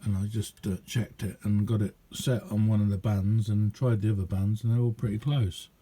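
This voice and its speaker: A man giving a casual, rambling hands-on demonstration as a radio hobbyist, chatty and hesitant in an unhurried way. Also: fairly low pitch, flat delivery, warm tone, slightly rough.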